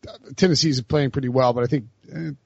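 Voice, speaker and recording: A man's voice: mid-range voice, steady tone, fast, vocal fry, a little mellow, husky, no room sound, lo-fi sound. Laid-back man hosting a sports podcast. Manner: loose, laughing, filler-heavy. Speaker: podcast host. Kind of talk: casual sports chat.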